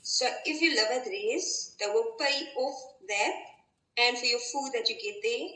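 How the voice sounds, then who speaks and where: A young woman who is a student explains her course and bursary in a casual way. Her tone is bright and a little high. The audio sounds roomy. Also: a little slow. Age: young adult. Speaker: university student.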